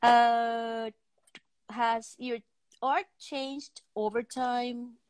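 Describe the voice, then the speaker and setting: A woman's voice: a little high, level tone, very slow, clean tone, faint music behind. A middle-aged woman hosting a casual interview over a video call, often searching for her words. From Venezuela. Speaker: talk show host. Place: indoor room, remote video call.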